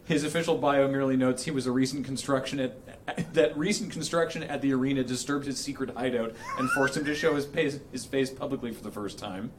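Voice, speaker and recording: A man's voice: mid-range voice, brisk. A man reading a formal resolution aloud in a mock-solemn style, amused and prone to cracking up. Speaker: charity livestream host reading aloud. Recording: noisy room with clicking.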